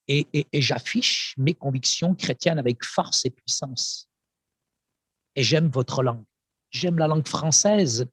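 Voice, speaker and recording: A man with Arabic as his first language speaks as a pastor, fervent and emphatic, pausing and repeating words for weight. His voice is mid-pitched and somewhat husky. The sound is dry and close, with little room echo. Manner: fervent, emphatic, with repetitions and pauses. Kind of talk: encouraging testimony address.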